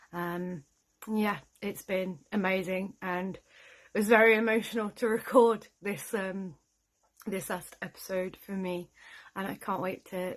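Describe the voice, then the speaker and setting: Lowish-voiced, earnest woman. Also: poor audio. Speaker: voice actor. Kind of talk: unscripted personal thank-you message.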